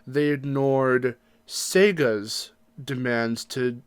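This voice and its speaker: A man in a casual podcast discussion, speaking at an average pitch. He makes his points emphatically and with firm opinions. The sound is dry, with no room to it. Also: steady tone, drawn-out words, clean tone, no room sound. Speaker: podcast co-host.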